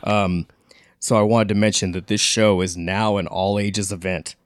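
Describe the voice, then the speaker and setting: A man's voice: on the low side, flat delivery, moderate pace, dry recording, clean studio sound. A man co-hosting a podcast, casually passing on event and release announcements. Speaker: podcast co-host and performer.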